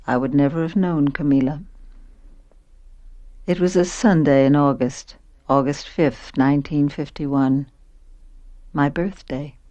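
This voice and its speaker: An actress playing a reflective forty-one-year-old American wife and mother, narrating and speaking in a radio drama with measured delivery. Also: sits low, a little mellow, dull audio. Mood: reflective, weary.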